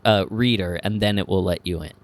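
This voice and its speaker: A man co-hosting a comedy podcast, riffing in a deadpan way. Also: low voice, brisk. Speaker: podcast co-host. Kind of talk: improvised comedy chat.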